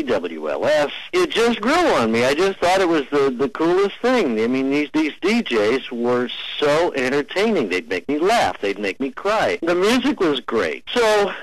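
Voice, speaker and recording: Animated American man in his forties reminiscing. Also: fairly high pitch, no room sound, poor audio. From New England, United States. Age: 40s. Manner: chatty, nostalgic, animated. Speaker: interview guest, former radio DJ. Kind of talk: enthusiastic storytelling in an interview. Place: on a phone call.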